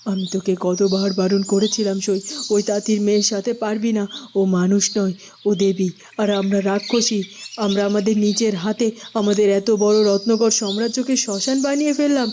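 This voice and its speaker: A woman's voice: medium pitch, fairly even intonation, brisk, noisy background, natural voice. A woman playing a despairing fallen noblewoman in a cartoon, lamenting melodramatically. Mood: regretful. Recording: music and birdsong behind.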